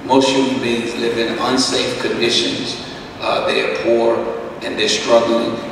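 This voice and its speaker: A middle-aged man teaching a Bible study as pastor, talking conversationally with many fillers and a slightly rough voice, at a podium mic. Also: medium pitch, flat delivery, measured pace. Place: church hall, indoors. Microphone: podium microphone, far camera. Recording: echoey hall, distant mic, background hiss.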